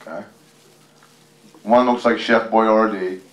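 Low-voiced man in an echoey room. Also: steady tone, takes it slowly, a bit bright. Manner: chatty, folksy, rambling asides to listeners. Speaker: guest storyteller, tribute performer. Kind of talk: reading a picture book aloud with casual ad-libbed commentary. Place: public library reading event. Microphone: handheld microphone. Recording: roomy, echoey, distant microphone pickup.

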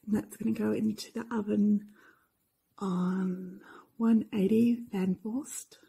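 A woman's voice: medium pitch, fairly even intonation, slow, creaky, husky. Chatty woman in a home kitchen. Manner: chatty, trailing fillers, sometimes soft and near-whispered. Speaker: cooking vlogger. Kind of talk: casual cooking commentary. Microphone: handheld phone camera.

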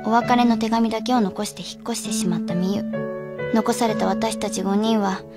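A young woman playing an earnest high school girl who narrates a radio drama. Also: steady tone, moderate pace, loud music behind the voice, close to an everyday voice. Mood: wistful, sincere.

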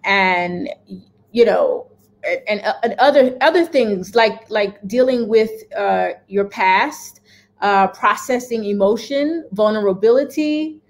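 A woman in her thirties giving her views to camera from her home kitchen, direct and emphatic, often checking in with "okay?". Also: mid-range voice. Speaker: lifestyle vlogger. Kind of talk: casual opinion chat to camera.

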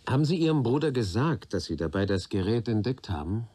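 A man's voice: on the low side, very animated, a little fast, warm tone, husky. A male actor playing a shrewd, dry official questioning witnesses in a radio play, formally polite and probing. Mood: businesslike. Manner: formally polite, wry, inquisitive. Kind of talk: German radio play acting.

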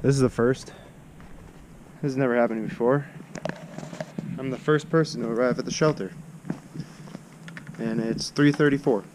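A man's voice: medium pitch, fairly even intonation, unhurried, long pauses. Young man vlogging outdoors in noisy wind. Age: 20s. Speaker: vlogger hiking a long trail. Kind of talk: casual hiking vlog. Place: outdoors on a wooded mountain trail. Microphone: action camera held at arm's length. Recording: windy, noisy outdoor action-camera audio. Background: wind noise.

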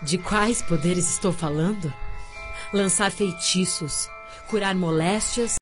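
An actress playing a brooding, free-spirited Amazonian sorceress, narrating an audio drama in a measured, literary manner. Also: female voice, on the low side, soft background music. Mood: solemn, mysterious.

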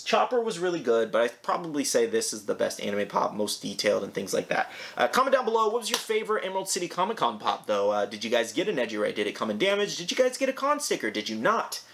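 A young man with a hoarse, raspy voice from a sore throat, chatting casually as he unboxes a collectible toy in his home room. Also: medium pitch, a bit bright. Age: young adult. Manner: chatty, rambling, eager.

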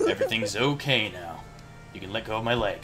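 A man's voice: lowish voice, lively intonation, fairly quick. A man with a sardonic, sweary manner, casually improvising a reluctant hero's lines over a video game. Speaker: player voicing the hero in a group game dub. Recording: game music behind the voice. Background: video game music.

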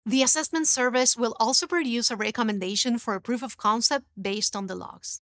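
A woman in her thirties presenting a scripted technical explainer in a studio, in a clear, even, instructive way. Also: fairly high pitch, a little fast, dry recording. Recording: dry studio sound.

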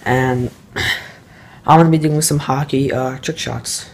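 A man's voice: mid-range voice, steady tone, measured pace. A teenage boy chatting casually to his camera at home, rambling with lots of ums and uhs. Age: teens. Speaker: vlogger. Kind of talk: casual vlog talk to camera.

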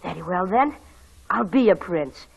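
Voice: mid-range voice, lively intonation, measured pace, gravelly, slightly rough, full character voice. A performer playing a shy, literal-minded young boy in a 1940s radio drama. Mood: hesitant, earnest.

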